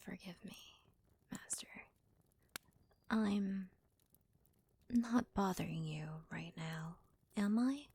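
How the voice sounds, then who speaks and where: A woman acts out a devoted young maidservant in an ASMR roleplay. Her mid-pitched voice is soft and breathy, often slipping into a half-whisper. She speaks slowly and deferentially, doting on her master. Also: full character voice. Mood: timid, attentive. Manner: gentle, deferential, hesitant.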